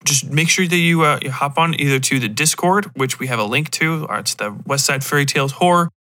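A man's voice: on the high side, fairly quick, sharp tone. A man hosting a solo podcast in a casual, rambling and self-deprecating way, often wandering off on digressions. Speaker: podcast host.

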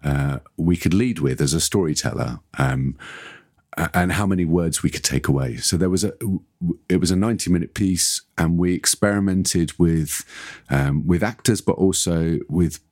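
A man's voice: deep voice, moderate pace, a little mellow, dry recording, studio quality. A man in a casual, analytical podcast conversation, speaking reflectively and searching for his words as he explains. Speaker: podcast discussant, audio storyteller.

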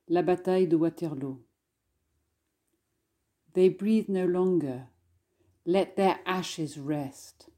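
A woman's voice: on the low side, warm tone. A woman scholar giving a literary introduction and poetry reading in an articulate manner.

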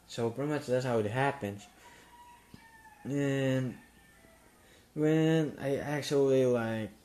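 A young man telling a personal story to the camera in a casual, halting way, with many restarts and fillers. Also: lowish voice, darkish tone. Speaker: gaming YouTuber.

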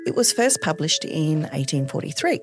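A woman's voice: deep voice, very animated, normal speed, soft background music. A woman hosting a podcast interview, effusive and gushing with praise. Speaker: podcast host. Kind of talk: podcast interview, reading intro script.

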